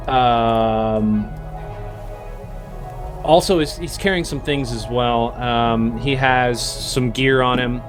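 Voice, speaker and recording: Hesitant man running a tabletop game. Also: mid-range voice. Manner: hesitant, many ums and uhs. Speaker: game master.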